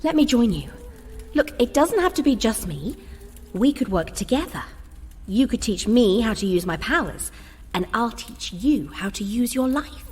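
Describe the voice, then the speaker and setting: A woman's voice: a little high, very animated, normal speed, a little mellow, husky, in a large hall, faint music behind. An actress playing an ambitious, exasperated mortal woman hungry for power in an audio drama, speaking with urgent, insistent persuasion. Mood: urgent pleading. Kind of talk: comic audio drama acting.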